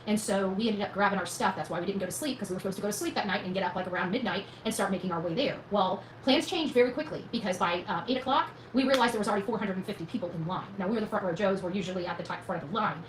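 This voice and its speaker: Fast-talking woman vlogger in an echoey room. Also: medium pitch. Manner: folksy, emphatic, colloquial. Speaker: vlogger recounting own experience. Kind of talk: casual rambling storytelling to viewers. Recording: echoey room with faint noise.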